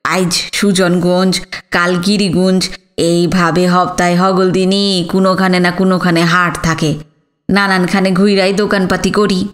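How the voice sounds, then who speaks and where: A woman's voice: on the low side, level tone, measured pace, pitched below the performer's own voice, natural voice. A woman voicing a humble, hardworking village sweet-shop man with an East Bengali accent in an audiobook. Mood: plain, resigned. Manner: humble, respectful. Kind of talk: audiobook character dialogue.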